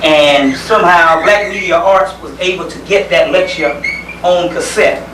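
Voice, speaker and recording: A man with a Southern US accent gives an informal talk in a high register for a man. He is conversational and folksy, often pausing and circling back over a phrase. The recording is roomy and distant from the microphone. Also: fairly bright tone. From South Carolina, United States. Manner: folksy, conversational, hesitant pauses with repeated phrases. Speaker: lecturer. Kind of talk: informal community lecture. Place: indoor lecture gathering. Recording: roomy, distant microphone, faint background noise.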